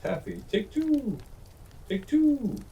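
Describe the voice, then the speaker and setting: A silly little turtle dove chattering to herself, as a man puts her on. The voice is pushed very high and squeaky, far above his usual low tone. Faint noise and a slight distance sit on the recording. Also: expressive, slow, creaky, warm tone, lo-fi sound, full character voice.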